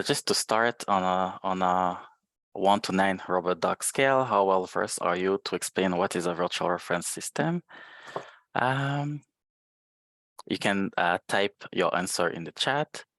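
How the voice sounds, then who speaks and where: A man whose first language is French, giving a webinar presentation over a video call in a halting way with many fillers. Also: sits low, vocal fry, a bit bright, dry recording. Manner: hesitant, many filler sounds. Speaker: guest presenter, librarian.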